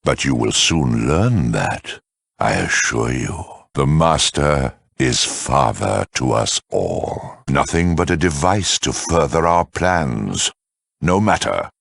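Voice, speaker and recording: A hulking-sounding super mutant villain from a video game, with an abyssally deep, husky growl. He speaks slowly and theatrically, savoring his mock courtesy. The cruelty sits under a polite, sardonic surface. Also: expressive, a bit bright, no room sound, clean studio sound, full character voice. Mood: smug, zealous. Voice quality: gravelly, raspy.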